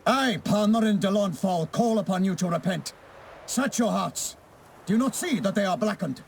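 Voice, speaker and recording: An actor playing a solemn, idealistic knight errant in a fantasy game, with grave, oratorical, archaic speech. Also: male voice, high voice, expressive, moderate pace, vocal fry, a little hiss or hum. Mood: declaiming, stern. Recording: loud game music behind.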